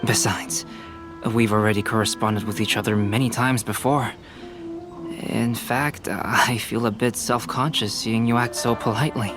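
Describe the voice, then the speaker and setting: A man voicing a calm, perceptive young alchemist who talks in a measured, analytical way, in a game. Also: lowish voice, natural voice. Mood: relaxed. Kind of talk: game voice acting. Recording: game cutscene with music underneath. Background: game music.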